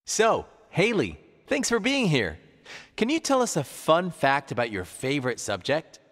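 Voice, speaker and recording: A man voicing an upbeat cartoon quiz show host in a video game, with a theatrical, drawn-out announcer delivery. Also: on the high side, wildly swooping pitch, a bit bright, full character voice. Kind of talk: scripted game show hosting. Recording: reverberant studio with crowd noise.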